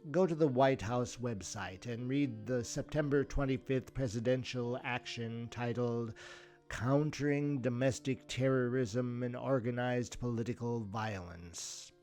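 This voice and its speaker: Male podcast host, mid-pitched, measured and wry scripted commentary, music underneath. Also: darkish tone. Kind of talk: scripted commentary over audio clips. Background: instrumental music.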